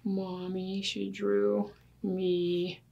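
Older woman, children's read-aloud, slow pace, creaky edge, expressive delivery. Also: medium pitch, highs cut off. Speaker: storybook reader. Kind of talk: children's read-aloud, asking listeners questions. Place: small indoor room with bookshelf.

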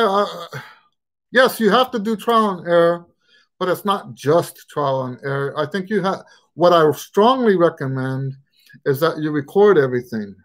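A middle-aged man, a trumpet teacher, answering questions in a casual live stream from his living room on a webcam, rambling and restarting his sentences. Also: sits high. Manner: rambling, frequent restarts and stammered repeats. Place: living room at home, indoors. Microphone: webcam at desk distance.

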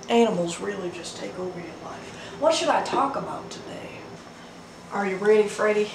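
A woman in her thirties hosting a comedic home cooking show, rambling in a deadpan way and laughing often, filmed in a small kitchen on a camera mic. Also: mid-range voice, a little slow. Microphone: camera mic from across the room. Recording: roomy, distant, noisy home recording.

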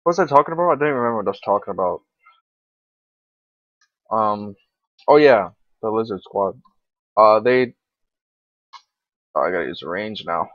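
A man's voice: lowish voice, expressive, moderate pace, pauses often, no echo. A sixteen-year-old male gamer giving casual, rambling commentary over gameplay in a hesitant, informal way. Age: teenager, 16. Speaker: gaming video creator. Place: indoors at a computer, screen recording. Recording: dull, lo-fi, dry computer mic.

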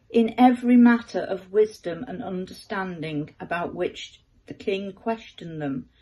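An older woman, around her seventies, reading aloud from the Bible in a measured manner at home. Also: medium pitch, a little mellow, rough recording. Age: older, about 70s. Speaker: scripture reader. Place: living room at home.

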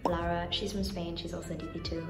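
A woman's voice: fairly low pitch, level tone, fast talker, over music. A young woman from Indonesia chatting casually and with youthful, bubbly informality as she films a room-tour vlog. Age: young adult. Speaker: vlogger. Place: small furnished dorm rooms and bathroom.

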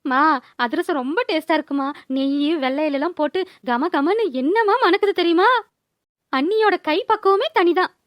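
A woman's voice: very high voice, normal speed, no echo. A woman voicing a whiny, dramatic, sweet-greedy grown daughter in a cartoon. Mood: delighted. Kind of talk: Tamil cartoon voice-over acting.

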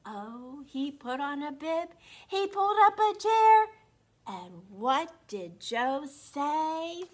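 A woman in her sixties with a slightly froggy throat, hosting an interactive read-aloud for young children in an animated, encouraging way. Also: high-pitched, slow pace. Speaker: children's storytime host at a library. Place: small indoor room. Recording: constant room noise.

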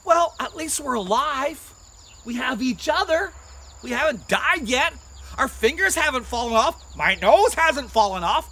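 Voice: high-pitched, animated, measured pace, sharp tone, voice pushed up, exaggerated voice. A storyteller voicing an earnest outcast man with pleading, dramatic delivery in a tale for children. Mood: hopeful. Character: earnest afflicted outcast man, anguished but hopeful. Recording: outdoor recording with insect noise.

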